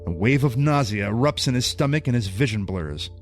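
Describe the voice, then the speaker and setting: Male narrator for audio drama: scripted, dramatic, mid-pitched, with clear music bed. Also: animated, fairly quick. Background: prominent music.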